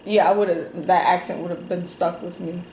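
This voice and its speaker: A woman's voice: lowish voice, steady tone, moderate pace, a little mellow. A woman from Jamaica chatting casually while eating, rambling with lots of fillers and telling stories. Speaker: mukbang host. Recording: roomy with faint noise.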